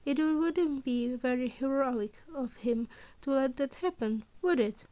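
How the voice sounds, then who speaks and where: High-pitched woman narrating fiction. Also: measured pace, warm tone. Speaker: podfic narrator.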